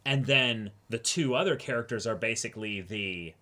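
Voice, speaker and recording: A man's voice: mid-range voice, moderate pace, pushed and bright. A man co-hosting a casual, emphatic discussion of a show, talking animatedly and swearing freely. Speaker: co-host of an anime reaction discussion show.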